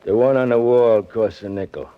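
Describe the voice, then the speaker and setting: An actor playing a shady flophouse desk clerk with smug, mock-courteous manners in a 1940s radio crime drama. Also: male voice, medium pitch, fairly even intonation, moderate pace, clean tone, close to an everyday voice. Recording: muffled vintage 1940s radio recording.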